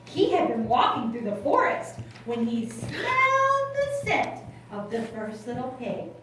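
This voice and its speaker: A woman narrating a children's puppet show in an expressive storytelling style with dramatic emphasis. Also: fairly high pitch, measured pace. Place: library room, recorded from a distance. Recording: very echoey room, distant, loud noise.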